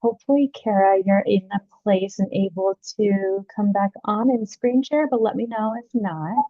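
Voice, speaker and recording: A woman's voice: medium pitch, fairly even intonation, moderate pace, dry recording. A woman moderating a webinar on a video call, speaking in a friendly way with frequent "um" fillers. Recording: dull lo-fi call audio.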